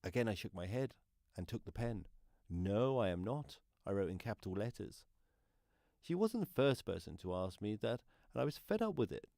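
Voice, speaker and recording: Earnest deaf man, low mellow voice acted by a man. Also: very animated, normal speed, gravelly, dry recording, studio quality. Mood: earnest, hurt. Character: earnest deaf man recounting events plainly. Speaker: actor in a student radio play. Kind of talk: first-person radio drama monologue.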